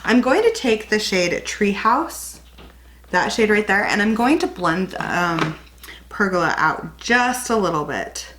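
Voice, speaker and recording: A woman's voice: mid-range voice, very animated, measured pace, noisy background. A young woman with a slight cold, chatting and rambling through a casual makeup tutorial as a beauty vlogger. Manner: chatty, rambling, self-correcting.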